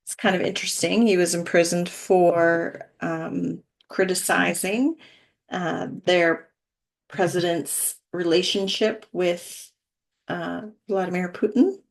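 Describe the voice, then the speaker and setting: A middle-aged woman, a wellness coach, speaking earnestly and softly as she introduces and reads a short story aloud. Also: lowish voice, fairly even intonation, slow pace, gravelly. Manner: earnest, soft-spoken, with small laughs. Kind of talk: casual intro then story reading.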